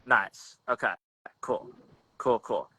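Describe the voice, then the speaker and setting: Laid-back young man hosting, bright and dry. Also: medium pitch, slow, breathy edge, no echo. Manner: laid-back, slangy, rambling with restarts. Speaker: podcast host. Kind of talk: casual chat.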